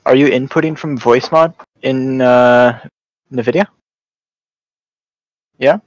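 A young man chatting casually in short, hesitant replies with halting delivery. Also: mid-range voice, fairly even intonation, dry recording, poor audio. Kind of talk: casual chat.

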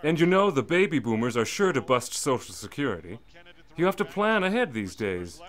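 An actor playing a menacing robber's sidekick in a radio drama, with a dry, sardonic, threatening manner. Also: male voice, medium pitch, big pitch swings, a little fast, fairly bright tone.

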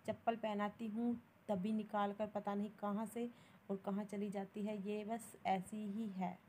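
A woman's voice: medium pitch, little pitch movement, unhurried, a little mellow, a little hiss or hum. A young woman, narrating her daily routine casually and matter-of-factly, with some English words mixed in. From Haryana, India. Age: young adult. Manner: plain, matter-of-fact, mixing in English words. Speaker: vlogger.

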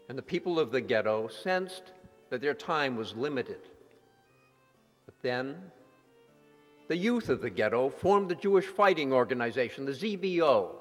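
A man reading scripted dramatic narration in a solemn, measured manner. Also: mid-range voice. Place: large sanctuary hall before an audience. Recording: echoey hall with music behind.

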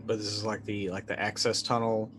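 A man's voice: on the low side, flat delivery, fairly quick, a bit bright, a little hiss or hum. A man in his forties casually narrating his character's moves as a game player, in stop-start phrases. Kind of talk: casual improvised roleplay. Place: indoor room.

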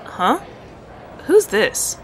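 A woman's voice: on the high side, sing-song, very slow, slight background noise, heavily acted. A woman playing a flustered, easily irritated young assistant in an audio drama. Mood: flustered, polite. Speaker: voice actor.

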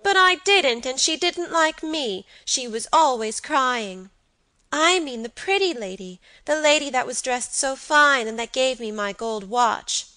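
A woman's voice: sits high, expressive, a little slow, pushed and bright, no room sound, pitched above the performer's own voice, exaggerated voice. A woman voicing a blunt, petulant little boy with abrupt, emphatic childish speech in a storybook reading. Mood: stubborn, resolute.

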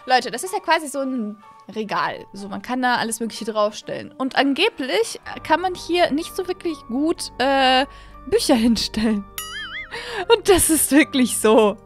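Young woman, high voice, excitable gaming host, casual chatty commentary, close mic, music behind. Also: a little slow. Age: 20s. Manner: excitable, gushing, peppered with English words. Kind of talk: casual let's-play commentary addressed to viewers. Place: small home gaming room, indoors. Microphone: close desk microphone on an arm, with headset. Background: background music.

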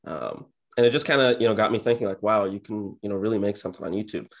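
A man in his twenties chatting casually as an interview guest on a video call, in a low-key, monotone manner. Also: fast, creaky. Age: 20s. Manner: low-key, introverted, self-described monotone. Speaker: interview guest, real estate agent and YouTuber. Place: indoor home office, on a video call.

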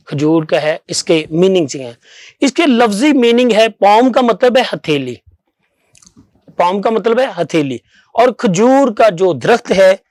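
High-pitched pastor preaching. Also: male voice, darkish tone, no echo, clean studio sound. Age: middle-aged. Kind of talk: televised Bible sermon, explanatory. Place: small TV studio, seated at a desk. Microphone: lapel mic.

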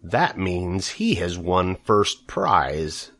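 A man voicing a gloating, greedy male rat with a husky, slow drawl. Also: low-pitched, very animated, fairly bright tone, exaggerated voice. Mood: sneering.

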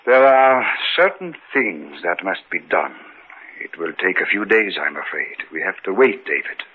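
A man playing a grave, learned doctor in an old-time radio drama, speaking solemnly and deliberately with a husky voice. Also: low voice, very animated, slight background noise, heavily acted. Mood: solemn, explaining. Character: grave, learned male doctor battling supernatural creatures. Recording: dull vintage radio recording.

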